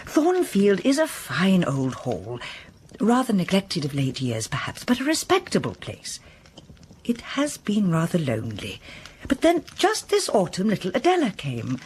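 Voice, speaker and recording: An actress playing a kindly, talkative elderly housekeeper in a radio drama, fussing warmly over a new arrival. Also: female voice, fairly low pitch, wildly swooping pitch, measured pace, a little hiss or hum. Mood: chatty. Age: older.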